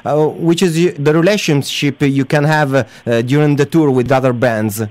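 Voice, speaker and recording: A man with an Italian accent interviewing for radio in non-native English, haltingly searching for his words. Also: fairly high pitch, fairly even intonation, a little mellow, no echo. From Italy. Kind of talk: interview questions.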